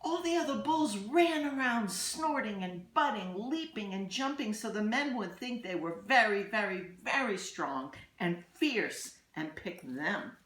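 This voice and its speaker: Woman, children's storybook read-aloud, animated intonation, deliberate phrasing, pauses, roomy echo. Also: medium pitch. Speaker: storybook reader, teacher. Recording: echoey room.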